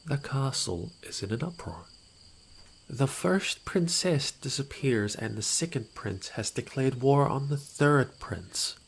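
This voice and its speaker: An unhurried, sardonic man playing a villainous rival in a fantasy audio drama. He drips mock courtesy and theatrical amusement at a medium pitch, with faint night insects and noise behind the voice. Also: expressive. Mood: amused, taunting. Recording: noisy night ambience with insects.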